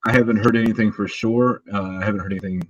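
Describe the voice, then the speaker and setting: A man hosting a casual livestream, chatting easily with a guest and reading out chat messages, with a slow, relaxed delivery full of fillers. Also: lowish voice, muffled recording, a little hiss or hum. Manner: laid-back, drawling, many "uh" and "um" fillers. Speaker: livestream host.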